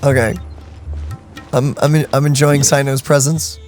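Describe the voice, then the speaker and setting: A streamer giving casual, excitable reaction commentary on a game playthrough. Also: medium pitch, normal speed. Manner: excitable, animated. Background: game music.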